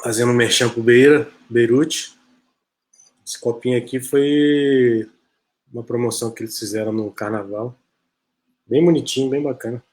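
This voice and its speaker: A man's voice: mid-range voice, normal speed, long pauses. Chatty man hosting a live stream. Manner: chatty, relaxed, many "né?" tags. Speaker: host of an online interview show. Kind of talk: casual live-stream chat.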